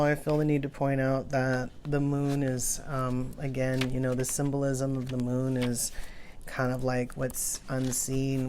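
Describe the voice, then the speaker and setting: A woman's voice: rumbling low, a little mellow, slight background noise. A woman hosting an oracle card reading in an informal, musing, conversational way. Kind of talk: casual rambling card reading, partly read aloud from a guidebook.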